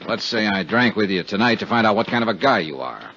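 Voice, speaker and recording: Low-voiced hard-boiled radio detective. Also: male voice, very animated, fast, bright, forceful tone, slight background noise, full character voice. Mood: pressing, curt. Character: tough, weary male insurance investigator, narrating his own case. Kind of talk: 1950s radio detective drama acting. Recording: dull 1950s radio recording with music.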